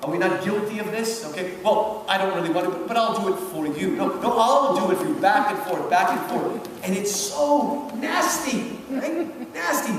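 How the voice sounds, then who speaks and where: Animated high-voiced male lecturer, echoing hall. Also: moderate pace. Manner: emphatic, repeats himself, checks in with listeners. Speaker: lecturer giving commentary on a book. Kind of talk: animated public lecture on literature. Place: large hall, public event. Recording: distant, very echoey hall, background noise.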